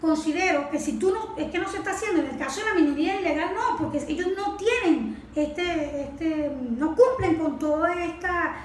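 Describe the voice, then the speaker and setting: A woman's voice: very high-pitched, moderate pace, hardly any pauses. A woman explaining as an expert in an interview, didactic, asking questions and answering them herself. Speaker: environmental expert being interviewed. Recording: echoey room with faint background noise.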